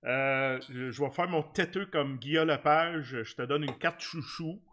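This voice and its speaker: A man's voice: on the high side, a little slow, a bit bright. A man in his forties with a Québécois accent, chatting informally and effusively as the host of a sports livestream. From Quebec, Canada. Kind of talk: casual livestream chat with guest and viewers. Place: small home studio room, indoors. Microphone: large desk microphone close up.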